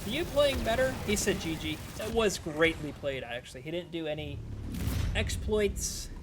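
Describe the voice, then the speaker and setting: A man's voice: a little high, animated, normal speed, background noise. A man streaming a game and chatting casually with viewers, his voice strained and wearing thin. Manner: rambling, chatty, answering chat between plays. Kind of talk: casual live-stream gameplay commentary.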